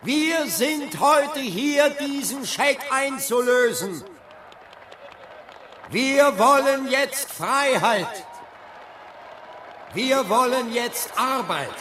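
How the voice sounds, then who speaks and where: An actor playing an impassioned civil rights preacher giving a deliberate, declamatory speech in a radio drama. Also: male voice, very high-pitched, expressive, exaggerated voice. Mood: fervent, solemn. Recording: loud crowd and noise behind.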